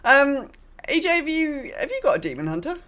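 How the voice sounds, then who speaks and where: A woman's voice: high-pitched, sing-song, fairly quick, fairly bright tone. A woman co-hosting a casual gaming podcast, speaking in short interjections and questions. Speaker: podcast co-host.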